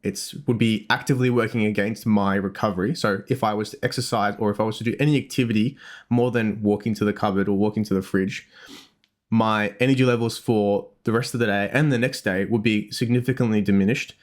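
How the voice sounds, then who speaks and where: A man's voice: on the low side, fairly even intonation, a little fast. A young man with an Australian accent, chatting casually on a health podcast, rambling with self-deprecating asides and frequent restarts. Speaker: dietitian podcast host.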